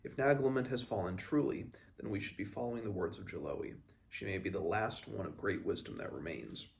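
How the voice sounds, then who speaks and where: Man reading as earnest low-voiced little mountain sage. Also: a little fast, creaky, a little hiss or hum. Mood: grave, thoughtful. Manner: formal, inverted foreign-sounding phrasing. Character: earnest small mountain-folk apprentice sage.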